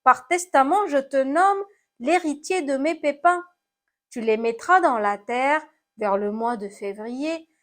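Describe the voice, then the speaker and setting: Woman voicing a high, plaintive storybook apple. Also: slow, fairly bright tone. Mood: resigned, generous. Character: battered apple, plaintive then gently resigned and generous.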